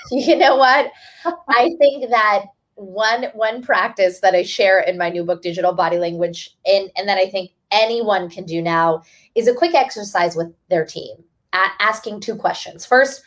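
A woman's voice: medium pitch, a little slow. A woman author speaking as a guest in a webinar, explaining earnestly and restarting phrases now and then. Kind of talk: webinar talk.

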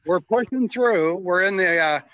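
High-voiced man in his sixties, dull line. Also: moderate pace, a bit bright, clean tone. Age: 60s. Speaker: guest. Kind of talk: casual chat over a call. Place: outdoors on a long scooter trip.

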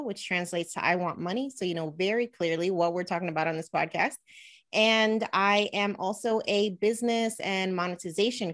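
Talkative thirties woman from New Jersey. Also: medium pitch. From New Jersey, USA. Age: 30s. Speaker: podcast guest, money coach. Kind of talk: casual interview talk.

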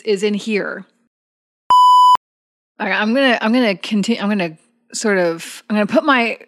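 A woman from the Chicago area with a Midwest accent touched by a Texas drawl, talking fast, slurring words and laughing often in casual tabletop podcast banter. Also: on the high side. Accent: Chicago-area Midwest with a hint of Texas drawl. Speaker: podcast co-host and tabletop player. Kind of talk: casual blooper-reel banter.

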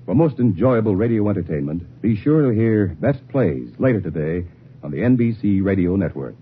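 A male network announcer reading scripted promotions in a lively, persuasive way. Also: on the low side, normal speed. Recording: tinny old radio broadcast with hiss.

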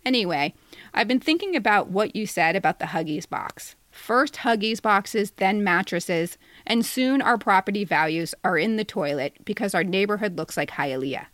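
A woman's voice: medium pitch, lively intonation, moderate pace, fairly bright tone, no room sound. Wry woman in her fifties, dry close recording. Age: 50s. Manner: wry, mock-polite. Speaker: podcast co-host and essayist. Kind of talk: reading a personal satirical letter.

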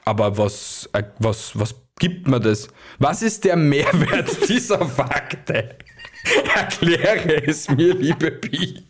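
A man's voice: on the high side, expressive, slow pace, breathy edge. A man co-hosting a podcast in joking, teasing casual chat, laughing often. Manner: teasing, laughs often, breaks into silly put-on voices. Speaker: podcast co-host.

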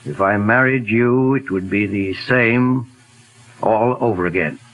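An actor playing a calm, methodical middle-aged detective in a 1940s radio drama, speaking in a measured, polite way over a hissy old broadcast. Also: male voice, on the low side, phone-quality sound. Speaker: detective lead.

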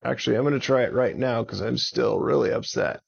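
A man's voice: mid-range voice, fairly quick, gravelly, lo-fi sound, voice pushed up. A man voicing an upset, hot-tempered magic dragon in a children's story, with emphatic, dramatic delivery. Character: angry, upset young male magic dragon.

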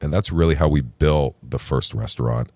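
A middle-aged man from New Jersey, a restaurant founder telling his story in a relaxed, chatty interview and calling the host "brother". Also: extremely low pitch, normal speed, no room sound. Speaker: restaurant group founder, podcast guest.

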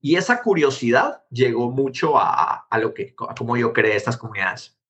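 A man from Guatemala in his thirties, an interview guest telling his story in a quick, animated conversational way. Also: mid-range voice, dull audio. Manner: animated, quick, jumps between anecdotes. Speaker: interview guest, tech founder.

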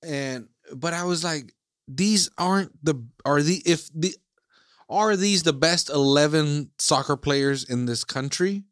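A man's voice: fairly high pitch, unhurried, a bit bright, no echo. Rambling man from Iceland, dry sound. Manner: rambling, circles back with "again" and "like". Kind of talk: casual chat.

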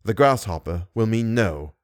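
A man voicing a mad masked villain in an audiobook, taunting and menacing in a deliberate way. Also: fairly low pitch, animated, dry recording, studio quality, close to an everyday voice. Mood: coldly menacing. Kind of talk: dramatic audiobook character voice.

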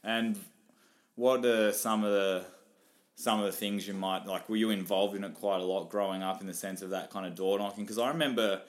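A man's voice: fairly low pitch, steady tone, brisk. A man with an Australian accent hosting a casual interview, asking rambling questions full of fillers. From northern New South Wales, Australia. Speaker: podcast host.

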